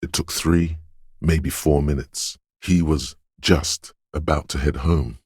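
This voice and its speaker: A man playing a brooding, ominous inner voice of intuition in a video game, with a very deep, husky, gravelly voice and a slow, solemn, cryptic delivery. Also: steady tone, a little mellow, dry recording, clean studio sound, exaggerated voice. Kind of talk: dramatic game narration.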